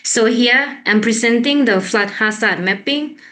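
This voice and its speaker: A woman from Thailand with a Thai accent gives an academic presentation in a halting way, often repeating words. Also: fairly high pitch, bright, forceful tone. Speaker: conference presenter, researcher.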